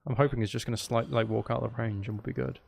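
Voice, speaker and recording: A man's voice: mid-range voice, fairly even intonation, fast talker, creaky, slightly rough. A man chatting casually as he hosts a gameplay livestream, teasing his viewers with playful mock threats. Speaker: streamer, host.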